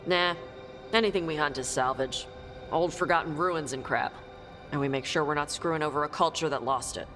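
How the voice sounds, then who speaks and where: A gruff, clipped dragon hunter. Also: female voice, deep voice, brisk, fairly bright tone, soft background music. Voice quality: clipped, blunt, dismissive. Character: blunt young dragon hunter, terse and gruff. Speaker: treasure hunter. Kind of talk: video game cutscene dialogue.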